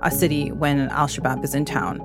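A woman who grew up in East Africa, hosting and narrating a documentary podcast in a measured, earnest way. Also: low-pitched, flat delivery, music in the background.

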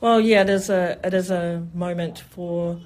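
New festival organiser, mid-pitched and enthusiastic, a rambling interview guest. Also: fairly even intonation, a little slow, darkish tone. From New Zealand. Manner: enthusiastic, rambling, many "you know" fillers. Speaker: festival event specialist. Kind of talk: radio interview.

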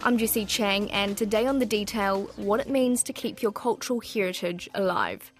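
A woman, hosting a news podcast, reading scripted narration and asking interview questions in a measured way. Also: mid-range voice, faint music behind. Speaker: podcast host.

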